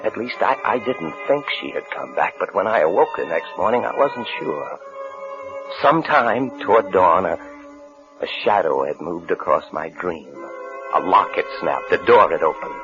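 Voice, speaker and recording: An American man, an actor playing a paranoid husband in hiding, in halting, haunted 1950s radio suspense style. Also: low-pitched, animated. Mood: anxious, bewildered. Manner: haunted, halting, repeating phrases. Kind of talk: 1950s radio suspense acting, first-person narration and dialogue. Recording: muffled old radio broadcast with music.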